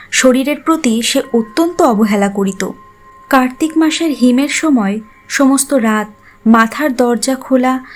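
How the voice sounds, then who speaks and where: Lady narrator, highish voice, reading old literary story, soft music under. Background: background music.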